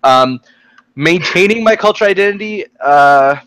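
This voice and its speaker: A young man with an American accent talks casually in a group discussion. His delivery is halting as he thinks aloud. The sound is dry and close, from a computer mic on an online call. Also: high voice, expressive, a bit bright, clear, resonant tone, no room sound. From Texas, United States. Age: young adult. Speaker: youth panel participant. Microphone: computer microphone on an online call.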